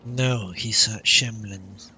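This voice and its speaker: A man's voice: lowish voice, fairly even intonation, takes it slowly, sharp tone, soft background music, poor audio. A man doing live role-play gaming commentary, unhurriedly reading out his lines as a streamer. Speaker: game streamer.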